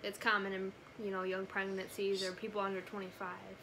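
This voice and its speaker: A woman's voice: mid-range voice, monotone, fairly quick, noisy background. A young woman telling a personal story casually to camera, with lots of "like" and "you know" in her talk. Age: young adult. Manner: conversational, frequent "like" and "you know". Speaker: family vlogger.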